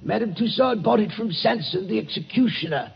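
A man's voice: high voice, animated, fairly quick, vocal fry, breathy edge, heavily acted. An actor playing a nervous old male night watchman at a waxworks, stammering and hesitant, in a 1940s radio drama. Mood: hushed storytelling. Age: older. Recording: dull old radio recording with music.

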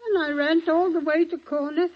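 An actress playing a chatty boarding-house helper who tells everything with great drama, in an old radio play. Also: female voice, very high-pitched, fairly even intonation, brisk, a little hiss or hum. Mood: gabbing. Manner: garrulous, dramatic storytelling. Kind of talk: 1940s radio acting. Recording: dull old radio recording.

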